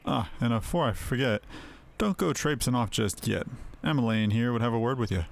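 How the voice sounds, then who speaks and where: A man's voice: mid-range voice, fairly quick, creaky, natural voice. A man voicing a hearty, chatty retired adventurer and innkeeper in a fantasy game, with folksy old-fashioned phrasing. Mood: jovial, friendly.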